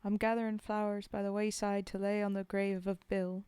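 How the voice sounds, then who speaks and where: A rough, sentimental common soldier from the trenches, read aloud by a woman, speaks his mourning in folksy working-class dialect. The delivery is slow and even, with a mellow, smooth tone and a dry, close sound. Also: medium pitch, dry recording, lightly acted. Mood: wistful. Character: rough common male British WWI soldier, grieving comrade. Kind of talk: poetry reading.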